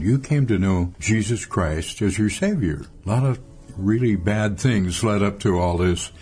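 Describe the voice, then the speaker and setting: A man interviewing a guest on a radio program, asking questions in a gentle, sympathetic way. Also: fairly low pitch, husky.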